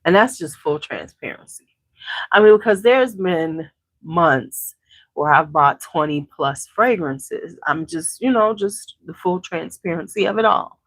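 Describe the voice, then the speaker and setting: A woman's voice: lowish voice, animated, a little slow, no echo, poor audio. A congested woman hosting a beauty channel, chatting casually with viewers at home and greeting them by name. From Chicago area. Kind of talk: casual chat to a live audience.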